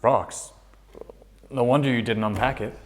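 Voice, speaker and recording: A man's voice: mid-range voice, fairly even intonation, a little slow, lightly acted. A man acting a dim, easygoing, rambling rocker in a sitcom table read. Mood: joking.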